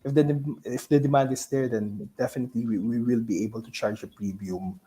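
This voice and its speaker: A man with a Filipino accent giving a business presentation to investors in a halting way, often tagging phrases with "no?". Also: medium pitch, steady tone, warm tone, poor audio. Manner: halting, ends phrases with a tag "no?". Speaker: company investor relations presenter. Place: virtual call.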